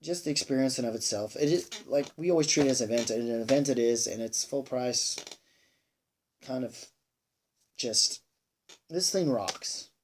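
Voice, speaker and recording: A man giving a casual, unscripted movie review, rambling and halting, repeating himself emphatically and sounding a bit tired. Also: mid-range voice, darkish tone, a little hiss or hum. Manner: sweary, emphatic. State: tired, sighing.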